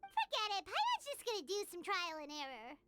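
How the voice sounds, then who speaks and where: A woman voicing an excitable, blunt tiny floating companion in a game who talks about herself in the third person. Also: extremely high pitch, a little fast, heavy background noise, full character voice. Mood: curious. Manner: speaks of herself in third person, exclaims often. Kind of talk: game voice acting. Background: loud game music.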